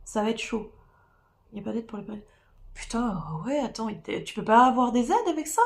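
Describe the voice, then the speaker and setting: A woman with a slightly high voice, rough from a cold and a sore throat. She sounds tired and rambles loosely, with lots of fillers and false starts, as she chats with her viewers from home. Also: a little fast. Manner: rambling, chatty, many fillers and restarts. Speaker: streamer doing diamond painting. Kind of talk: casual live-stream chat with viewers.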